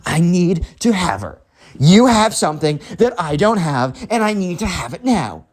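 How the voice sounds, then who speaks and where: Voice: medium pitch, big pitch swings, moderate pace, voice pushed up. A storyteller voicing a whiny, entitled, lovesick spoiled teenage boy with melodramatic, insistent pleading in a comic story. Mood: pleading, demanding. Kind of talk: acted dialogue in a comic story.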